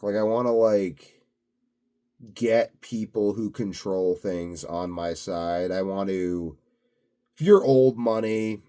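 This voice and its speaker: A man's voice: lowish voice, mellow. A self-assured, blunt man rambling unscripted to his viewers in a grandiose solo monologue. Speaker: solo video host.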